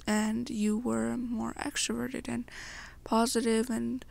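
A young woman narrates second-person fan fiction in an ASMR style. Her voice sits fairly high and has plenty of creaky fry. It is breathy and sometimes falls away almost to a whisper. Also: level tone, takes it slowly, a little mellow. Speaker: ASMR roleplay storyteller.

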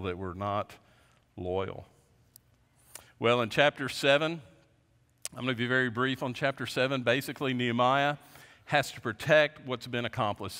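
Earnest pastor preaching in a reverberant sanctuary. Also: male voice, a bit bright. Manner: earnest, gestures widely, voice drops low at ends of points. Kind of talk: sermon. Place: large dark church stage at a lectern. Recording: long reverb of a large sanctuary.

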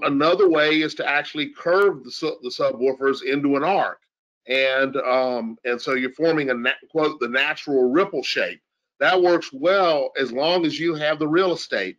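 A man's voice: fairly high pitch, a bit bright, dull audio. A man giving an informal technical explanation as an expert, in a conversational way with rhetorical questions. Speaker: audio engineer guest expert.

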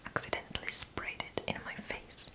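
Woman in her twenties, soft breathy whisper, intimate ASMR style, close microphone. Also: normal speed. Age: 20s. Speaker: ASMR video creator playing a roommate. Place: small indoor room.

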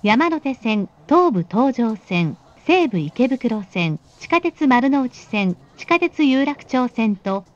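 A woman giving calm, polite recorded announcements for a train. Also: a little high, takes it slowly, few pauses. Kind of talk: recorded on-board station announcements. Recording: dull recording from inside a train.

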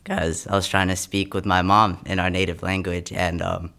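A man's voice: low voice, flat delivery, normal speed, vocal fry, sharp tone, clear, resonant tone. A young man from the United States, a spoken word poet, recorded close on a studio microphone. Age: young adult. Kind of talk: spoken word poetry and interview answers. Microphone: close studio condenser microphone.

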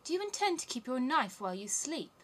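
A woman's voice: very high voice, normal speed, slight background noise, pitched above the performer's own voice. A woman voicing a timid, tender little girl in a storybook, anxious and pleading. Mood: fearful. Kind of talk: storybook character voice.

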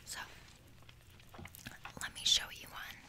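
A young adult woman whispering a casual ASMR roleplay close to the microphone, explaining things hesitantly with pauses. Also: pushed and bright. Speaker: ASMR creator. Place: small bedroom, indoors. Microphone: close desk microphone.

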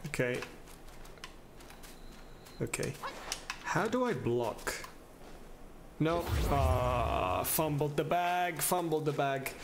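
A man's voice: a little high, expressive, extremely slow, pauses often, noisy background. A young adult man giving casual playthrough commentary as a streamer, speaking into a microphone indoors. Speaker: gaming streamer. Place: small room indoors. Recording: loud game music behind voice.

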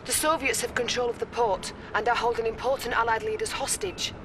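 An actress as a poised young intel lieutenant. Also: female voice, a little high, fairly even intonation, brisk, background noise, lightly acted. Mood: earnest, urgent. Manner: formal, crisp, earnest. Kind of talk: acted game mission briefing.

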